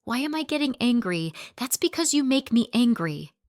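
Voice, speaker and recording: A woman voices a young wife who yells at her husband and mocks him. Her voice climbs high and loud, and she still sounds clean and smooth. The recording is dry and close. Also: big pitch swings, normal speed, no echo. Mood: furious. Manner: yelling, mocking, biting. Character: young wife, furious and sarcastic.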